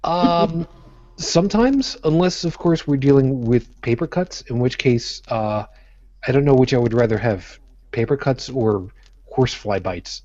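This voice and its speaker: A man's voice: mid-range voice, a little slow, darkish tone, no echo, highs cut off. A man from co-hosting a live show in casual chat, blunt and profane. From Brooklyn, New York. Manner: blunt, jokey, profane, with many restarts. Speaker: podcast co-host.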